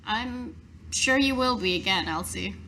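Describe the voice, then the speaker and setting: A woman's voice: mid-range voice, unhurried, sharp tone, a little hiss or hum. A woman playing a tabletop game, improvising casually in character.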